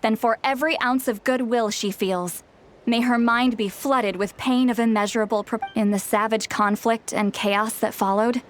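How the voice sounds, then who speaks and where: A woman's voice: a little high, steady tone, moderate pace, a little hiss or hum, close to an everyday voice. A woman voicing a matter-of-fact lore expert. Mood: explaining. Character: knowledgeable woman explaining ancient lore. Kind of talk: video game voice acting.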